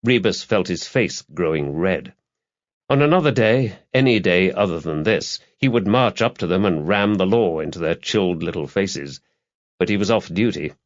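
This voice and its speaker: A man's voice: low voice, animated, moderate pace, dull audio. A man reading crime fiction as dramatic scripted audiobook narration.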